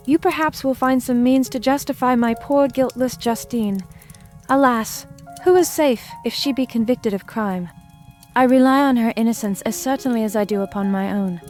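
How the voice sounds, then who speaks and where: An actress playing a tender, anguished young gentlewoman in a dramatized audiobook, speaking earnestly and formally. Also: fairly high pitch, moderate pace, faint music behind, close to an everyday voice.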